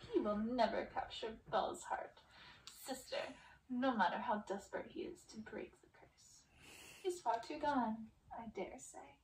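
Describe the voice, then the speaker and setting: A woman voicing cruel witch sisters from a storybook, mocking and deliberate in their taunts. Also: a little high, darkish tone, close to an everyday voice. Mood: scornful. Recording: roomy, distant, faint background noise.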